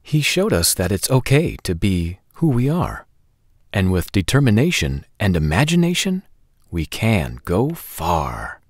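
A man in his forties hosting a children's storytime, reading aloud in an expressive, deliberate, sing-song way. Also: sits low, dry recording, studio quality. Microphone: lapel microphone.

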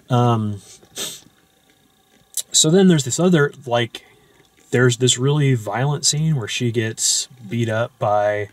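Low-voiced man rambling about a movie. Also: no room sound. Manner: loose and rambling, with frequent fillers and restarts. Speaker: movie discussion host. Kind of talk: casual film discussion. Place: parked car at night.

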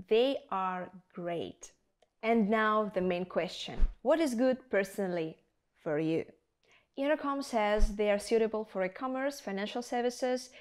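A woman's voice: medium pitch, a little mellow. Young woman presenting a scripted product review. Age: young adult. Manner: addresses viewers directly, rhetorical questions. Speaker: video presenter. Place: indoor studio with plain backdrop.

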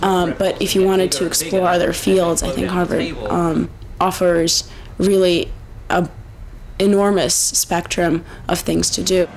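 A man's voice: sits high, flat delivery, a little slow, poor audio. A male student giving informal interview answers.

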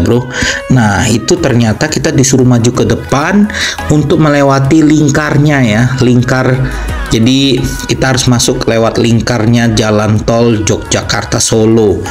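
A man's voice: medium pitch, a little mellow. A man giving chatty, casual travel vlog narration, addressing the viewer like a buddy. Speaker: travel vlogger. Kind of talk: casual road trip narration.

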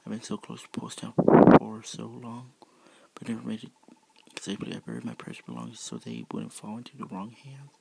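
A man's voice: lowish voice, a little fast, vocal fry, a little hiss or hum, low-quality recording. A man whispering the part of a remorseful, anxious female fox creature in a read-aloud story. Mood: recounting. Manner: whispered, gentle and apologetic.

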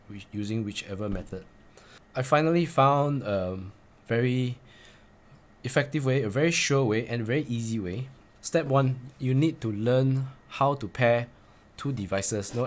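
A man in his forties presenting a step-by-step tutorial in a plain, instructional way, repeating key points for emphasis. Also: on the low side. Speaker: presenter of motorcycle gear tips.

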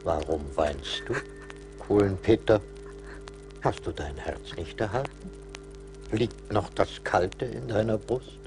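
An actor playing a stern but forgiving little forest spirit, solemn and admonishing, in a radio play. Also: male voice, lowish voice, slow, gravelly, long pauses, music in the background. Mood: gently questioning. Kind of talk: theatrical radio play acting.

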